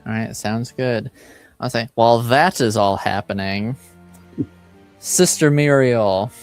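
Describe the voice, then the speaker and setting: A man narrating a tabletop roleplaying game as game master in a halting, casual, improvised way. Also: mid-range voice, clean tone. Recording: music behind the voice.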